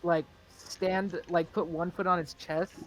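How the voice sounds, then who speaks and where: A man playing a tabletop roleplaying game, casually describing his character's actions and pausing to think as he goes. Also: high-pitched, level tone, fairly bright tone, poor audio.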